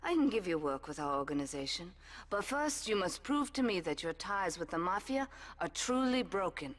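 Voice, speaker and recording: A woman's voice: fairly low pitch, big pitch swings, normal speed. An actress playing a cold, commanding Yakuza woman boss who gives curt, dryly seductive orders in a video game cutscene. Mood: cool command.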